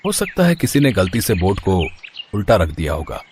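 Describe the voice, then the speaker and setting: A man's voice: fairly low pitch, sing-song, brisk, darkish tone, slight background noise. A man voicing an earnest male schoolteacher, the hero of a children's cartoon story. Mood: puzzled. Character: puzzled, confident young-ish male schoolteacher, cartoon hero.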